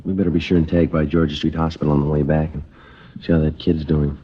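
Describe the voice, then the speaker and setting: Very deep, clipped American police detective. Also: male voice, little pitch movement, fast, a little hiss or hum. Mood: firm, polite. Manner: matter-of-fact, understated. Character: calm, terse, polite male police detective sergeant. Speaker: narrator and lead detective. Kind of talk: clipped 1950s radio police drama acting. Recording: dull old radio recording, music.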